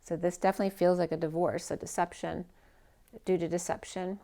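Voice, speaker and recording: A woman's voice: fairly low pitch, flat delivery, brisk, dark tone. A woman giving a casual tarot reading who is losing her voice. She thinks aloud, trails off and restarts her sentences. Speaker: tarot reader.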